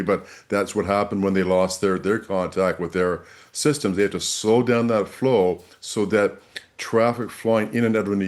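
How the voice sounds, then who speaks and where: A man's voice: lowish voice, darkish tone. A man speaking as an expert guest in a news interview, explaining things with emphatic repetition.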